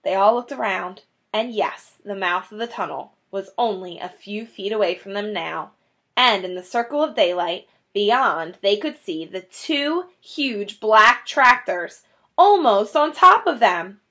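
A woman in her thirties reading a children's book aloud in an animated, dramatic way. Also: mid-range voice, measured pace. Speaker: children's story reader. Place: small indoor room, classroom-like decor.

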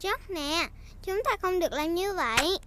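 A woman's voice: very high-pitched, lively intonation, normal speed, heavy background noise. A woman voicing a playful, bossy little girl pig with a childlike, eager delivery in a cartoon dub. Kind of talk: Vietnamese-dubbed preschool cartoon acting. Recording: cartoon music behind.

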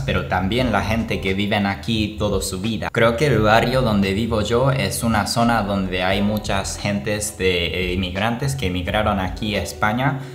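Deep-voiced young man with a Japanese accent. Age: young adult. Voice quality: non-native Spanish with small grammar slips. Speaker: vlogger. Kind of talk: casual talk to camera. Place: small plain indoor room. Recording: roomy echo. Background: music.

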